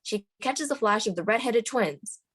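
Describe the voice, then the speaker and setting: A woman's voice: on the high side, animated, moderate pace, a bit bright, husky. A woman narrator reading screenplay stage directions aloud at a table read.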